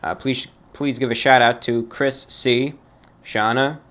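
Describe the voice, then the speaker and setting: A man's voice: mid-range voice, steady tone, fairly bright tone. Formal man reading listener emails. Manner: formal, measured, halting delivery. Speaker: host reading fan mail. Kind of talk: radio-style monologue reading emails.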